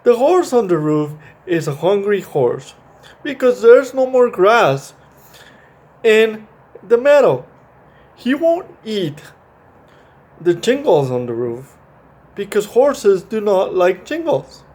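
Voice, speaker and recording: A man voicing an imaginative, earnest little boy in a halting storybook read-aloud. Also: high voice, big pitch swings, a little mellow, pitched above the performer's own voice, exaggerated voice. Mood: earnest, playful.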